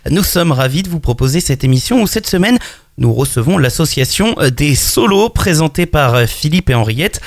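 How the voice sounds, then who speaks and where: A man's voice: a little high, sing-song, moderate pace, creaky, dry recording, studio quality. A man hosting a local radio show, asking guests questions in a friendly, slightly hesitant way. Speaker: radio host. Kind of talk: radio interview. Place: radio studio.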